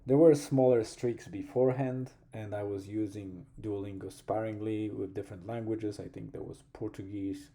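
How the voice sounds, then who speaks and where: A man with a Polish accent, a native Polish speaker, talking reflectively in a personal vlog, slow and thoughtful, pausing to find words. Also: on the low side, steady tone, dark tone. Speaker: vlogger.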